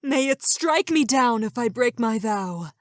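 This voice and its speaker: A woman's voice: on the high side, animated, measured pace. A woman voicing a devoted, earnest and formal pony nursemaid in a cartoon drama. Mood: solemn, honored. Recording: music behind the voice.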